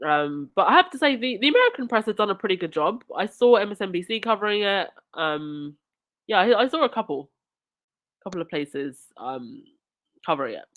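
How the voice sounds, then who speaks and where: A woman's voice: medium pitch, big pitch swings, a little fast, a bit bright. A woman hosting a live chat stream, thanking viewers and reading comments, indignant at times, with a stuffy nose from a cold. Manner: indignant, talks back to chat and supporters. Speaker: livestream host.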